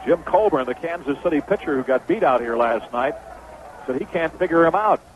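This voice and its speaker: Higher-voiced American baseball radio announcer, man. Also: animated, fairly quick. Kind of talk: live 1970s radio baseball commentary. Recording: dull, noisy old AM radio broadcast.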